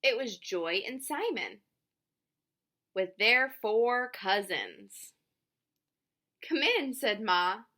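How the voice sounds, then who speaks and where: A woman reading a story aloud for young children, expressive and speaking to them directly. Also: medium pitch, slow. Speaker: children's story reader, teacher.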